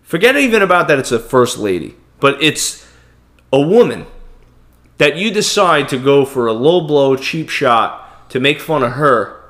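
A man with a thick Brooklyn accent talking in a blunt, heated way. He speaks at a middle pitch and often stumbles over repeated words as he makes his point on a casual podcast. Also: expressive, unhurried, a bit bright. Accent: Brooklyn New York. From Brooklyn, New York. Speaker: podcast co-host. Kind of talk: casual opinionated chat.

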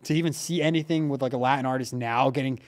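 A man hosting a casual podcast, telling stories in an animated way with lots of filler words like "like" and "dude.". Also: mid-range voice, fast talker. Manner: animated storyteller, heavy use of "like" and "dude". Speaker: podcast host.

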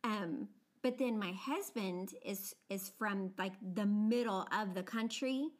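A woman's voice: mid-range voice, slow pace. An American woman with a subtle regional accent, co-hosting a podcast in a friendly, encouraging way and laughing easily. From United States. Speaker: podcast co-host. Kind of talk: casual podcast chat.

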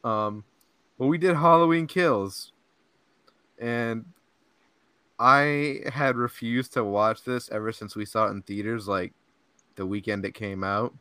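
A man's voice: medium pitch, unhurried, pauses often, bright, forceful tone, clear, resonant tone, no echo. A young man hosting a podcast, chatting casually and rambling, laughing often and saying "like" and "dude" a lot. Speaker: podcast host. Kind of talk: casual chat. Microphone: podcast mic.

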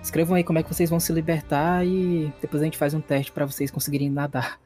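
A man's voice: a little high, flat delivery, fast talker, a little mellow, soft background music. A man with a Brazilian accent running a tabletop horror game, moving between dramatic narration and casual refereeing. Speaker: game master.

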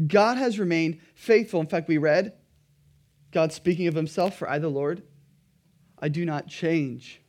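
A pastor preaching a sermon in an earnest, emphatic way, his throat a little dry and raspy. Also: fairly high pitch, darkish tone.

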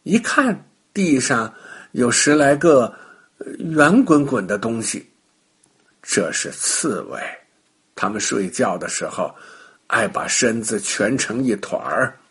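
A man tells a bedtime story to young children. His voice is husky and breathy, and he moves through it slowly with very lively, swooping intonation. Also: mid-range voice. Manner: animated, unhurried, child-directed.

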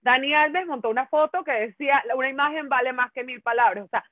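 A woman from Brazil co-hosting a casual sports chat, talking in an indignant, expressive way. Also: sits high, fast, no room sound. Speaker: co-host. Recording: tinny phone-like line.

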